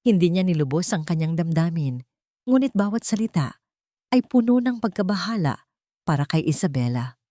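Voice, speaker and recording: A woman's voice: fairly low pitch, big pitch swings, normal speed, mellow, no echo, clean studio sound. A woman reading a scripted dramatic story as a storyteller.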